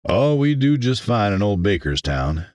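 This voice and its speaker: Male narrator as young man, jovial, folksy, booming, cheeky, audiobook character. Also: very animated, moderate pace, darkish tone, no room sound, studio quality. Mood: jovial, curious.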